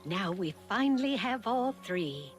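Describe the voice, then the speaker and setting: A woman's voice: medium pitch, big pitch swings, measured pace. A kindly older fairy godmother in a video game, lilting and coaxing. The delivery swings widely in melody, with music underneath. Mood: knowing, reassuring.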